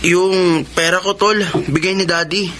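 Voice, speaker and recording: An actor playing a hesitant, troubled young son of a well-off family in a radio drama. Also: male voice, sits high, steady tone, a little slow, pushed and bright, a little hiss or hum. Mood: worried. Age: young adult.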